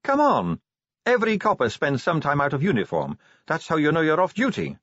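A male reader puts on a young female vampire officer for a storybook. The voice sits high above his own and swings animatedly, teasing, breezy and sure of itself. The sound is slightly dull. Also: huge pitch swings, fairly quick, a bit bright, dry recording, full character voice. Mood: needling. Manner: breezy, needling, coaxing.

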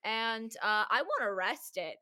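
A hoarse woman hosting a chaotic casual podcast with friends, giggling often. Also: fairly high pitch, a little slow, pushed and bright. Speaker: podcast host.

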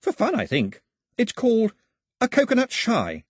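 A man's voice: very high-pitched, wildly swooping pitch, moderate pace, no echo, studio quality, pitched above the performer's own voice, full character voice. A man voicing a chatty, cheerful young islander in a storybook, eagerly explaining things with emphasis. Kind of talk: storybook character dialogue.

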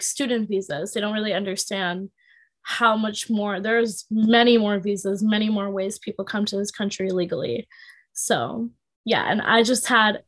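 A woman's voice: mid-range voice, a bit bright. A woman of twenty, a podcast guest, explaining her situation in a chatty way full of "like" fillers. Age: 20s. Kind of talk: casual chat.

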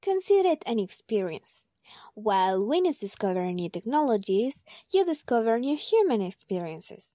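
An actress playing a composed, thoughtful advisor in an audio drama, measured and matter-of-fact. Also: female voice, on the high side, very animated, warm tone, dry recording, clean studio sound. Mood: composed, advising.

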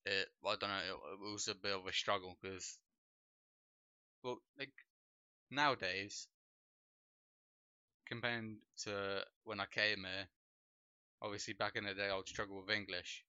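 A man's voice: fairly low pitch, measured pace, pauses often, clear, resonant tone, no echo. Young Polish-born man, dull lo-fi stream audio. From Poland. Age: young adult. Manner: relaxed, rambling, heavy with fillers like "like" and "bro". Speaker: streamer and podcast host. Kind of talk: casual chat on a livestream. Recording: dull lo-fi home mic sound.

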